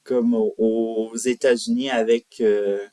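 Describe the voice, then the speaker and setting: A man hosting a music profile, narrating informally and haltingly, correcting himself as he goes. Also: medium pitch, little pitch movement, warm tone, no echo, clean studio sound. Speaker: host of a country music profile show. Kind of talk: semi-scripted biographical narration to viewers.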